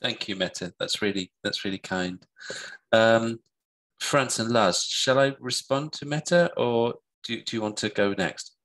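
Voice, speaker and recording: Low-voiced middle-aged man on a headset call. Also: unhurried, a bit bright, rough recording. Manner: thoughtful, many restarts and fillers. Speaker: guest speaker. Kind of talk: online seminar discussion. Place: home room. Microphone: headset on video call.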